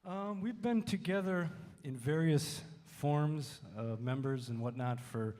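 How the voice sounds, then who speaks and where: Casual faculty drummer in a hall. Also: male voice, on the high side, slow, a little mellow. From Wisconsin. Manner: casual, many fillers, friendly. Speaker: music faculty, band drummer. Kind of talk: casual talk at a college Q&A. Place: college music hall, reverberant. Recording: reverberant hall.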